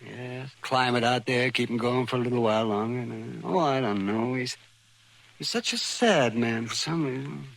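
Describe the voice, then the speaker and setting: An actor playing a crusty older American frontier doctor, muttering and rambling, in an old radio western. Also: male voice, mid-range voice, moderate pace, creaky, fairly bright tone. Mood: somber. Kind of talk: 1950s American radio drama acting.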